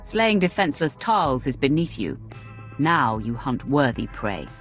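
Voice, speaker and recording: A woman's voice: extremely low pitch, big pitch swings, unhurried, faint music behind, exaggerated voice. An actress playing a composed, steely female Sith warrior in a video game, controlled, formal and confident. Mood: composed, assured. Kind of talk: video game dialogue acting.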